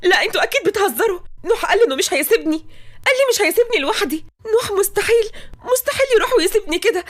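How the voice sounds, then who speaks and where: A woman with an Egyptian accent playing a hysterical young bride, tearful and rushed in disbelief. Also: very high-pitched, fairly even intonation, pitched above the performer's own voice. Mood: hysterical crying. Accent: Egyptian Arabic. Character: hysterical young bride refusing terrible news.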